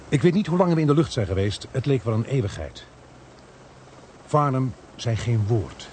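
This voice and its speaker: An actor playing an earnest male narrator-protagonist, telling a suspense story in a measured radio drama style. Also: mid-range voice, warm tone, a little hiss or hum, lightly acted. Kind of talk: dramatic first-person radio play narration.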